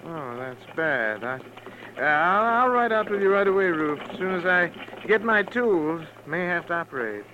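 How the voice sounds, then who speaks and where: An actor playing a weary, kindly frontier doctor in a 1950s radio western, with a hesitant, folksy way of talking. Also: male voice, high-pitched, lively intonation, normal speed. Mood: concerned. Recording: dull, noisy old radio recording.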